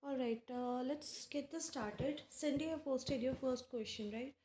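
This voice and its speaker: A woman with an Indian English accent, hosting a live tarot stream and chatting casually with viewers by name, unhurried and full of fillers. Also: sits high, dark tone, slight background noise. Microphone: earphones with mic. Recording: echoey room.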